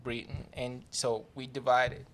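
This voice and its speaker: A man's voice: medium pitch, level tone, unhurried, a bit bright. A man from Cameroon with a mixed Cameroonian and American accent, chatting casually and joking as a podcast guest. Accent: Cameroonian-American mix. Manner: laid-back, joking, slangy.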